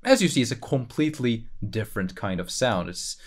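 A man talking through a software tutorial in an informal, explanatory way, thinking aloud as he goes. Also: mid-range voice, very animated, brisk. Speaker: tutorial presenter. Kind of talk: casual screen-recorded software tutorial. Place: voice-over for a screen recording.

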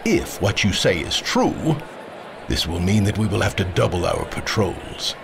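An actor playing a stately veteran war advisor in a fantasy audio drama, speaking formally and with authority. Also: male voice, sits low, big pitch swings, a little slow, gravelly, noisy background, heavily acted. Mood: grave, decisive.

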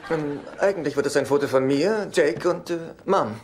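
An actor playing a fawning, flustered adult son and younger brother in a sitcom dub, hesitant and stammering. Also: male voice, fairly high pitch, normal speed, very noisy, natural voice. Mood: evasive. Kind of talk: German sitcom dub acting.

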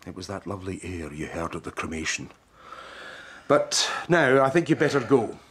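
Animated, exasperated psychiatrist. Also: male voice, deep voice, normal speed, slightly rough, heavily acted. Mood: explaining. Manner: flustered, indignant, precise. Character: exasperated, indignant male psychiatrist who practises hypnosis. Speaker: actor in radio drama. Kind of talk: radio drama acting.

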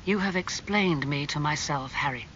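A man voicing an anguished, impulsive young gentleman in an audiobook, earnest and pleading in manner. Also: sits high, very animated, normal speed, muffled recording, slight background noise, exaggerated voice. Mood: subdued. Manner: emotional, pleading.

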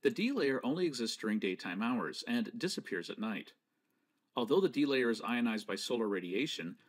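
Lively-pitched man narrating a scripted lesson. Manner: measured, didactic, precisely enunciated. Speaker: presenter. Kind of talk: scripted educational narration over slides.

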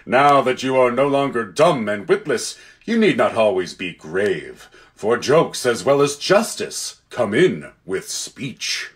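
A man voicing a great lion king in a storybook, kind and commanding, with slow, measured, solemn pronouncements. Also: mid-range voice, very animated. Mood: gently amused.

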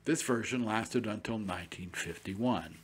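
A man's voice: on the low side, measured pace, husky. A man hosting a radio program, reading a scripted documentary-style introduction. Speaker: program host.

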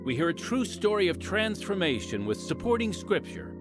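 A man's voice: high voice, sing-song, moderate pace. A man announcing for a radio program in scripted, evangelistic narration. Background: music.

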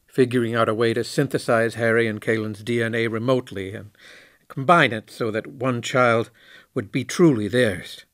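A man playing a candid, reflective former station commander in a science fiction audio drama, speaking in a measured way. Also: mid-range voice, no echo, clean studio sound, close to an everyday voice.

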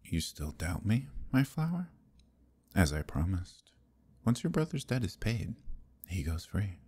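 A man playing a proud, possessive fae prince in an intimate ASMR roleplay, husky and breathy, with measured, courtly speech that dips into whispers. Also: deep voice, very animated, darkish tone, heavily acted. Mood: adoring. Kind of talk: ASMR roleplay, intimate archaic theatrical acting.

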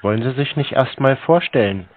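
A man answering scam calls with a calm, dry, sarcastic manner. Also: medium pitch, a little fast, phone-quality sound. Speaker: scam-baiting call recipient. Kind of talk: recorded phone call.

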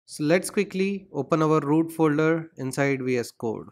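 A man's voice: a little high, moderate pace, a little mellow. Man, tutorial host, voice-over, instructional, spells out commands. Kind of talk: screen-recorded coding tutorial. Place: voice-over on screen recording.

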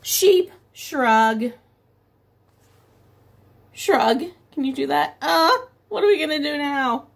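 A middle-aged woman reads a picture book to small children in a fairly high voice with noticeable vocal fry. Her pace is slow, with story phrases drawn out expressively and simple explanations added like a preschool teacher. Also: lively intonation. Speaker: preschool teacher reading aloud. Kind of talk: picture-book read-aloud for young children. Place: small room at home, indoors by a window.

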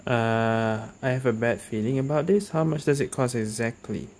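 A man's voice: medium pitch, normal speed, creaky, a little mellow, slight background noise. A man giving casual playthrough commentary as a gamer host, reading choices aloud.

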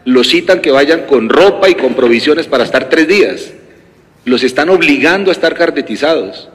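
A man's voice: on the high side, lively intonation. Man giving a formal address in a reverberant hall. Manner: measured, formal, respectful. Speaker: regional governor.

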